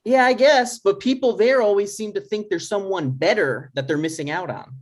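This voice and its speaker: A man's voice: high voice, moderate pace. A man playing a neurotic, touchy son in his thirties, argumentative and dry, in a scripted comedy table read. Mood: anxious, dry. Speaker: writer and actor in a table read.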